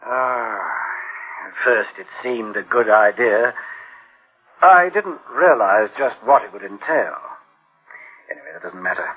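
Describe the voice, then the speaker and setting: A man's voice: on the low side, very animated, breathy edge, full character voice. Uneasy, brooding male boss, muffled radio. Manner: brooding, grave, halting. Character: uneasy, conscience-stricken male boss dreading his machines. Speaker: actor in an audio drama. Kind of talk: dramatic radio acting. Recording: band-limited old radio drama sound.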